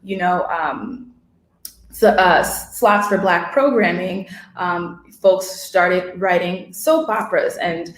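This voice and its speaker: A woman's voice: on the low side, slow. A woman writer talking casually in a discussion, reflective and searching for her words. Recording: roomy, echoing room sound.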